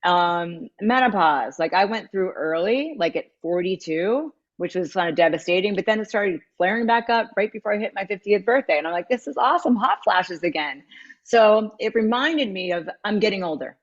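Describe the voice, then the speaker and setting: A woman's voice: medium pitch, animated, normal speed, muffled recording. A woman in her fifties, a matchmaker and dating coach, talking candidly and reflectively as a guest in an interview. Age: 50s.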